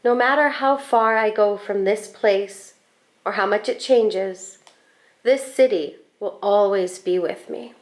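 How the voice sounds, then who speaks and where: A woman reading a children's picture book aloud in an expressive, deliberate storytelling manner. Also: medium pitch. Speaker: school staff storybook reader.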